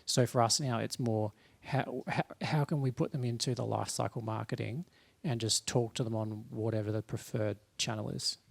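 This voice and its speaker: Gravelly-voiced man on a discussion panel. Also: mid-range voice, steady tone, normal speed. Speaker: panelist, eCommerce marketer. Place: live event in front of an audience.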